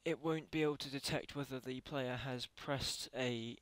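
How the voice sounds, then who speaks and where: A man giving a casual software tutorial as a voice-over, talking haltingly and correcting himself as he goes. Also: medium pitch, fairly even intonation, no room sound. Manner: halting, self-correcting while typing. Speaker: tutorial creator.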